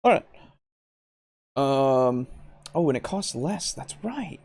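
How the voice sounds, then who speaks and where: A man playing a tabletop roleplaying game, chatting casually and haltingly as he thinks aloud. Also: mid-range voice, big pitch swings, creaky, darkish tone. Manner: halting, thinking aloud while checking things. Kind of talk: casual game-session chat.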